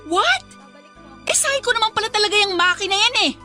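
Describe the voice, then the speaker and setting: Bright, high, bossy Filipina friend. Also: female voice, expressive, quick pace, soft background music, exaggerated voice. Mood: urgent, protective. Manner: scolding, insistent. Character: bossy, protective, outspoken young female best friend. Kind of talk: Filipino radio drama acting.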